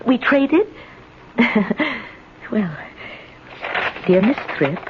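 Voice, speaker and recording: A timid older woman in an old radio play, hesitant and fretful. Her pitch rises and falls dramatically as she talks. The recording is dull, with some background noise. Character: timid, shaken retired spinster living alone in the country.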